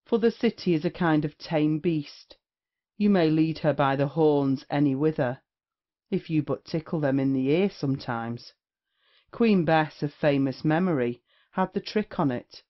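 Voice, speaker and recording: A man playing a worldly, wry gentleman of the court in a period comedy audio play, with declamatory delivery. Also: fairly high pitch, lightly acted. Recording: dull lo-fi audio.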